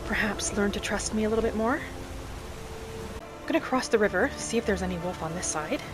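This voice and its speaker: A gentle young elf woman, played by a woman gamer, narrates her own fantasy game journey. She is soft-spoken and unhurried, musing to herself at a medium pitch. Music plays clearly behind her voice. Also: expressive, natural voice. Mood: calm, musing. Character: gentle young female wood elf alchemist and forager. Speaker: player of a solo fantasy roleplay video series.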